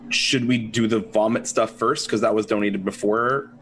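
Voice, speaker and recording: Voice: mid-range voice, steady tone, normal speed, a bit bright. A cast member chatting casually and checking in tentatively during a play reading, with faint background noise. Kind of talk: casual chat.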